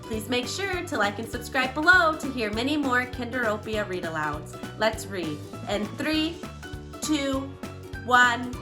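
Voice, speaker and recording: A woman reads a children's storybook in a fairly high voice with very lively intonation. She narrates expressively for young listeners, leaning on key words with dramatic emphasis. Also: measured pace, over music. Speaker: children's read-aloud host.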